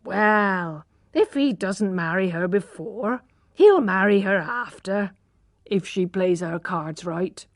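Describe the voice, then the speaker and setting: A woman's voice: medium pitch, takes it slowly, dry recording, clean studio sound, close to an everyday voice. A woman reading a storybook aloud, voicing a scheming middle-aged country mother in a plain, sly tone.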